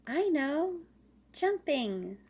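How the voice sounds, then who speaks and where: An excitable young boy squirrel from a children's storybook, played by a woman. The voice is pitched up high and full of eager exclamation. It has the keen, easily dismayed temper of a small child. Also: extremely slow, heavily acted. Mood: excited, eager.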